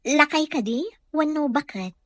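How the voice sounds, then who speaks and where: A woman as a gentle, struggling young mother. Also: high voice, expressive, a little slow, no echo, studio quality. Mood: curious, hesitant. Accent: Ilocano. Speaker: radio drama actor. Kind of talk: Filipino radio drama dialogue.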